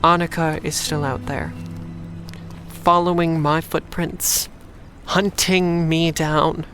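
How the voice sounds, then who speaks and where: A woman's voice: deep voice, fairly even intonation, slow pace, a bit bright, faint music behind, heavily acted. An actress playing a guarded, independent young woman drifter, speaking dryly in a noir audio drama. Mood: reflective, resolute. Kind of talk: audio drama acting with noir first-person narration.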